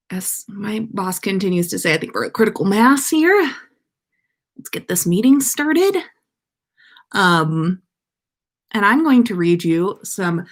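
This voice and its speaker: A woman hosting a livestream in a chatty, playful, theatrical way as she reads stories aloud. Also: mid-range voice. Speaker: podcast host reading fan fiction aloud. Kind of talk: chatty livestream and animated story reading.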